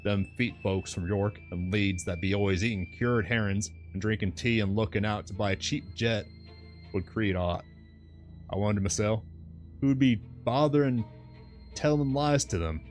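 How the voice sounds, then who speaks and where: A man acts out a very old, skeptical storybook sailor. The voice is low, the manner brusque and folksy, and faint music plays behind it. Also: moderate pace, a little mellow, close to an everyday voice. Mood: brusque, dismissive.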